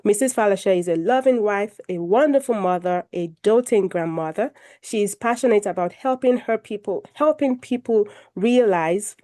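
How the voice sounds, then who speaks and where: A woman moderating an online health discussion over a video call, getting over a cold and a little croaky, making formal introductions with light self-deprecating humor. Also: medium pitch, normal speed, warm tone. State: recovering from a cold, a bit croaky. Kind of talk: hosting a video call panel, presenting slides.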